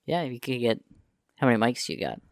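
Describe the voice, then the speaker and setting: A man's voice: mid-range voice, big pitch swings, a little fast, vocal fry, no echo. A man joining a podcast as a guest, chiming in with short, dry remarks in casual chat. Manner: brief dry interjections. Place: small studio.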